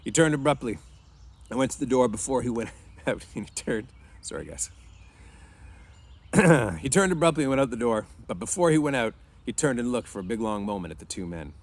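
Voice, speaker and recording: A man narrating a story in an informal read-aloud, often clearing his throat. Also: medium pitch, expressive, fairly quick. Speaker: reader.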